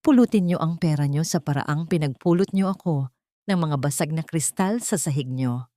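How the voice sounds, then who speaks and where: A woman's voice: low voice, normal speed, dark tone, no echo, studio quality, lightly acted. A woman voicing a vengeful, wronged heiress who is cold, scornful and commanding in an audiobook drama. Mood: bitter, scornful.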